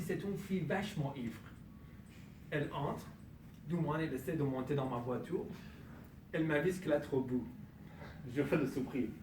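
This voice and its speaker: A man giving a humorous speech at a speaking club, telling a comic story with pauses for the punchlines. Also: a little high, noisy background. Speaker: contest speaker. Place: club meeting room. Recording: echoey room, from far off. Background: audience laughter.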